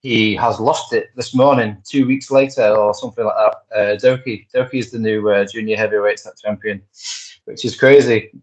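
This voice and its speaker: A man with a lowish, fairly flat voice chats loosely through wrestling news, full of ums and restarts. The audio is somewhat dull and lo-fi, like a home podcast setup. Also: normal speed. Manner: rambling, lots of fillers and restarts. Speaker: podcast co-host. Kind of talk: casual wrestling news chat.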